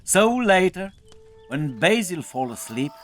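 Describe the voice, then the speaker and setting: A man narrating a scripted children's fairy tale in a dramatic, deliberate storytelling manner. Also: sits high, sing-song, fairly bright tone. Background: music.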